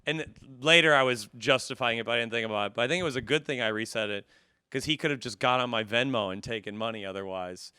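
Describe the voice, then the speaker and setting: Male comic guest, bright forceful voice, dry close sound, casual self-mocking anecdotes with acted-out lines. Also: mid-range voice, fast talker, clear, resonant tone, no room sound. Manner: laughs through his stories, acts out dialogue; self-deprecating, profane. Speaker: comedian, podcast guest. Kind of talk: animated comedic storytelling, casual chat.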